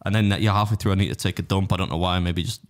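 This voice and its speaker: A man's voice: low-pitched, fairly even intonation, very fast. An English man hosting a podcast, chatting casually in a rambling, jokey way and often laughing at himself. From England. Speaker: podcast host. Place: podcast studio.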